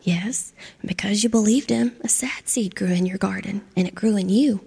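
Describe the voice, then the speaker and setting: A woman voicing a wise, gentle old oak tree in a storybook, speaking in a soft, hushed whisper. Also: mid-range voice, steady tone, fairly quick, creaky, slightly rough, heavily acted. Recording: long hall reverb.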